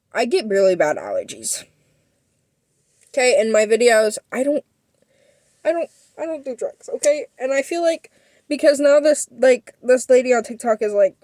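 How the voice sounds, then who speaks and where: Young woman vlogging, sniffly, slow and rambly, dry close sound. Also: fairly high pitch, a little mellow, no room sound. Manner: rambling and defensive, many fillers. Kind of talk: personal story to camera.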